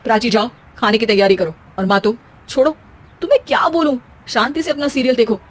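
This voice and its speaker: A woman's voice: fairly high pitch, quick pace, natural voice. A woman voicing an exasperated young husband and son in a comic family story, scolding and wry.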